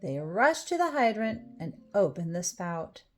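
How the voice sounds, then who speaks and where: A woman reading a rhyming children's storybook aloud, with expressive, deliberate phrasing. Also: mid-range voice. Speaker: storybook reader. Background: music.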